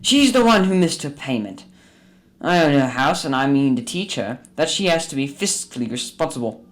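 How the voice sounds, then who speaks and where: A man's voice: medium pitch, very animated, normal speed, a bit bright. A man playing a crotchety, scolding old miser businessman in a radio drama. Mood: stern, accusing. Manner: scolding, indignant, barking demands. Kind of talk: stage-like radio drama acting.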